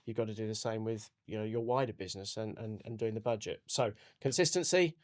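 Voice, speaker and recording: A man's voice: on the low side, fast talker. A man hosting a podcast, putting questions to a guest in a conversational interviewing style. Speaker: podcast host.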